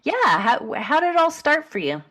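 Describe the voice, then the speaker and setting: A woman's voice: sits high, sing-song, normal speed, fairly bright tone. A woman hosting a podcast, upbeat and curious, asking her guest questions with frequent fillers. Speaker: podcast host. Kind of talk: podcast interview.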